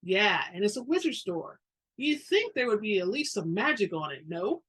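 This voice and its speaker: Wary, husky burglar voiced by a woman. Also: medium pitch, very animated, moderate pace, fairly bright tone. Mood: skeptical. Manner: casual, skeptical. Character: practical, wary burglar partner.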